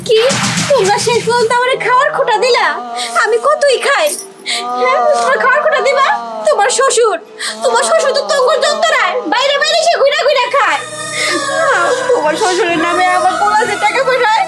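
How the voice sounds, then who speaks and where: Shrill, plaintive old mother. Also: lively intonation, fast talker, distant mic. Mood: aggrieved scolding. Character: aggrieved older village mother, complaining yet protective. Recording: loud music under voice.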